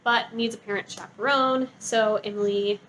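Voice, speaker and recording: A woman with a fairly high voice talks casually, as a book vlogger would to her viewers. Her delivery is informal and gushing, sprinkled with "like". Some faint noise sits behind her voice. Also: steady tone, normal speed, fairly bright tone, rough recording. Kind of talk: casual chatty book review to camera.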